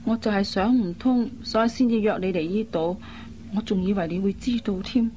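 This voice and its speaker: An actress playing a worried, caring young woman in a radio drama, with an earnest, expressive delivery. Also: medium pitch, a little fast, lightly acted. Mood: fretful. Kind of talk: Cantonese radio drama acting. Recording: dull lo-fi recording, faint noise.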